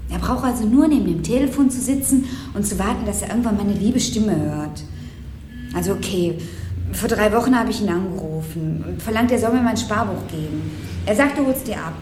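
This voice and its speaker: Eine Schauspielerin spielt eine trotzige, misstrauische junge Ausreißerin in einem Krimihörspiel, knapp und abweisend im Ton. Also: female voice, medium pitch, animated, brisk, lightly acted. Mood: defiant, bitter. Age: young adult. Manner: curt, defiant. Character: defiant, worn young woman runaway squatter, distrustful. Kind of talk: radio crime drama dialogue. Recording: echoey room with faint background noise.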